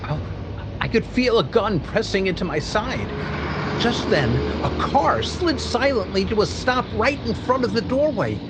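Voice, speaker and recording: An actor playing a shaken factory owner in a 1940s-style radio drama, talking in a hesitant, stammering way. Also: male voice, high-pitched, lively intonation, a little slow, very noisy. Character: shaken middle-aged male factory owner robbed of payroll.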